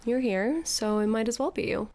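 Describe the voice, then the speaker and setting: A woman's voice: on the high side, normal speed, darkish tone, natural voice. An actress playing a sardonic, lovelorn woman aboard a tall ship in an audio drama, sarcastic and given to dramatics. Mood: flirtatious.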